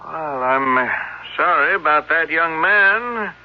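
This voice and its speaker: A fussy, formal town magistrate, with a notably high voice for a man. It is old radio drama, with a dull, muffled sound. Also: lively intonation, takes it slowly. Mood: formal, hesitant. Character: officious small-town magistrate chairing a town meeting. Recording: muffled vintage radio recording.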